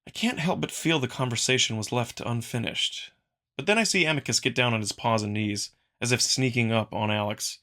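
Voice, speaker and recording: Bright-voiced man narrating a story. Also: medium pitch, fairly quick. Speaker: narrator and performer. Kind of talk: scripted first-person visual novel narration.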